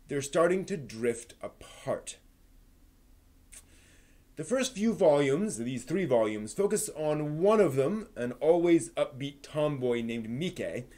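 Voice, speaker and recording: A man hosting a live stream, giving a manga review in an articulate, expressive way. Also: on the high side. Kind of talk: manga review on a live stream, part prepared, part casual chat.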